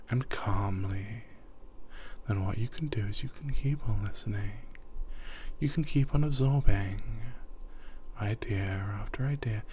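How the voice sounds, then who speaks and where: A man talks the listener toward sleep in a soft, often half-whispered voice, circling back over the same phrases again and again. His voice sits a little low, and he takes his time over every word. A faint hiss runs underneath. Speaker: hypnotist. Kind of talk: sleep hypnosis script.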